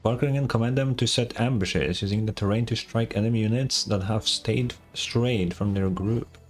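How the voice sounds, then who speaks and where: A man's voice: lowish voice, moderate pace, faint music behind. A man livestreaming a game, commenting casually in a laid-back, slangy way and reading game text aloud.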